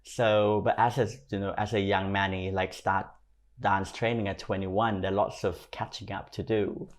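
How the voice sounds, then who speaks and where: Male podcast guest, Thai accent, lower-pitched, talking through personal anecdotes in a conversational way. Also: flat delivery, moderate pace. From Thailand. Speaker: podcast guest, fashion stylist and creative consultant. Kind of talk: conversational interview storytelling.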